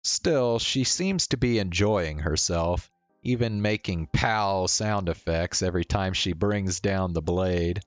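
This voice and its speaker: A streamer narrating a visual novel aloud in a measured, storybook-like reading. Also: low voice. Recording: roomy sound, faint music behind. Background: faint game music.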